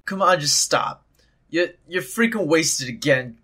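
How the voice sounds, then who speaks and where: An actor playing a frightened, pleading teenage boy in a comic dub, with a husky, breathy voice. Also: fairly high pitch, lively intonation, unhurried, bright, forceful tone, full character voice. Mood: scared pleading. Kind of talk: amateur comic dub acting.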